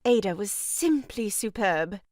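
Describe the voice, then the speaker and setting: A woman's voice: mid-range voice, animated, a little slow, a little mellow, dry recording, clean studio sound, natural voice. A woman playing an arch, mock-pious young sister-in-law in a comic stage farce, scolding in a grand theatrical way. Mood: proud.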